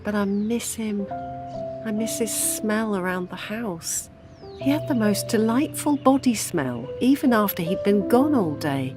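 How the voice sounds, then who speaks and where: A woman's voice: mid-range voice, expressive, a little slow, music in the background, lightly acted. An actress with a British accent playing a grieving older widow and mother, wry and devoted, in an intimate audio drama. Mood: grieving, tender.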